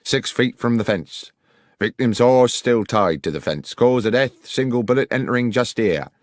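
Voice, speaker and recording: A man's voice: medium pitch, expressive, moderate pace, dry recording, clean studio sound, voice pushed up, natural voice. A man voicing a stubborn, insolent portly village policeman with a British accent and a clipped, smug manner. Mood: blunt.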